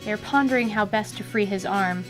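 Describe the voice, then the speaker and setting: A woman giving vivid, deliberate scripted narration for a fantasy radio drama. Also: medium pitch. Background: music.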